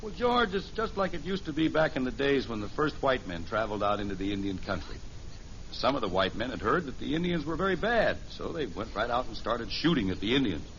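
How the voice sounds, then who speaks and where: Kindly American dad, muffled vintage radio. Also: male voice, medium pitch, big pitch swings, fairly quick, slight background noise. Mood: gentle, reassuring. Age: middle-aged. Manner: gentle, folksy, patient storytelling. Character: kindly middle-aged father playing make-believe with a child. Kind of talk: 1950s radio sitcom acting.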